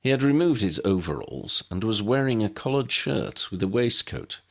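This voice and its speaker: A man's voice: lowish voice, sing-song, normal speed, gravelly. A man narrating a story as an audiobook reader, expressively reading scripted fiction.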